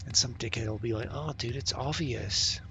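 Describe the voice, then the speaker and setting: A man's voice: medium pitch, normal speed, creaky, pushed and bright, faint music behind. A man live-streaming a puzzle game, muttering and swearing in frustration as he guesses passwords. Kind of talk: live-stream commentary while gaming.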